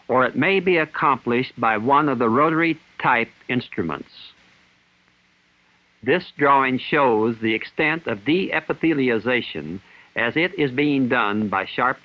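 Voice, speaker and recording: Dull vintage film recording, formal male narrator. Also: mid-range voice. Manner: formal, measured, clinical. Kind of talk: scripted instructional narration, 1960s training film. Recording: dull 1960s film soundtrack.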